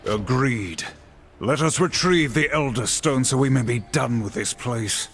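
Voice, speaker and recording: A man's voice: mid-range voice, a little slow, loud music behind the voice, lightly acted. An actor playing a stern, dutiful male warrior horseman in a video game, solemn and formal. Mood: resolute. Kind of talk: video game cutscene acting.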